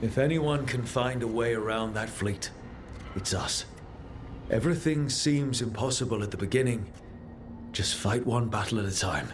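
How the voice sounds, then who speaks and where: An actor playing a steady, resolute leader in a video game, speaking earnestly and firmly to encourage his team. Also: mid-range voice, moderate pace, background noise, lightly acted. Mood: resolute, encouraging. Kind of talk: cinematic video game voice acting.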